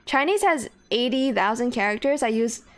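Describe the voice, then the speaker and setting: A woman's voice: on the high side, expressive, moderate pace. A woman whose first language is Cantonese, streaming a game in casual chat, swearing freely and laughing often. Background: faint game music.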